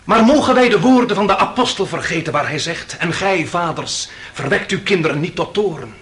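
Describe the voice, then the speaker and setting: Radio play defence lawyer: high male voice, very animated, rhetorical and impassioned. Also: normal speed. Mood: impassioned, reasoning. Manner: rhetorical, declamatory, emphatic. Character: impassioned defence lawyer, grandly appealing to a jury. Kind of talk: Dutch radio play acting, courtroom oratory.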